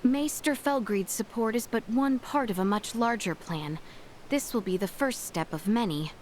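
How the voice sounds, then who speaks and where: A woman's voice: fairly high pitch, normal speed, a little hiss or hum, natural voice. A woman playing a composed, earnest young noblewoman in a fantasy video game, speaking formally. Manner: formal, refined wording. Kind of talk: English video game voice acting. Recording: loud music behind.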